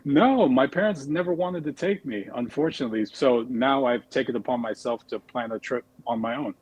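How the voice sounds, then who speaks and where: Young man with a husky voice. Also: mid-range voice, fairly quick, darkish tone, dry recording, poor audio. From United States. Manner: polite, hesitant, uses fillers. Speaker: debate guest. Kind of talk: debate argument.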